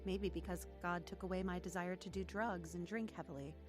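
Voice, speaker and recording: A woman's voice: on the low side, steady tone, brisk, music in the background, close to an everyday voice. An actress playing an earnest young woman who reflectively narrates her testimony in a radio drama.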